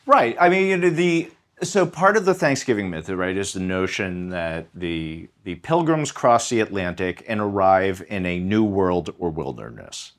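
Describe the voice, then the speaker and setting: Lively-voiced male history professor. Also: sits low, a little slow, hardly any pauses. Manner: lively, lecturing, with many fillers and restarts. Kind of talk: conversational explaining.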